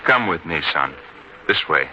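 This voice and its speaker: A man playing a kindly, reverent disciple, earnest and deliberate, in an old-time radio drama. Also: rumbling low, expressive, bright, forceful tone, exaggerated voice. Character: kindly, reverent male disciple, gently authoritative. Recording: dull lo-fi radio sound, faint noise.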